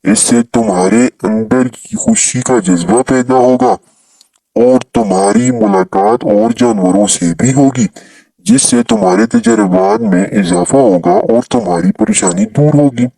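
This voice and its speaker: A man voicing a friendly, wise crow in a children's cartoon story, speaking politely in measured, instructive sentences. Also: on the low side, animated, creaky, dark tone, slightly rough, no echo, full character voice. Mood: earnest, advising. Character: wise, friendly male crow, earnest adviser.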